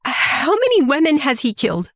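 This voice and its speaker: A shaken but sharp-tongued female agent played by an actress, with a somewhat high voice. She is pointed and indignant in audio drama style, recorded close and dry. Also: huge pitch swings, moderate pace, no echo, heavily acted. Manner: sarcastic, pointed questioning.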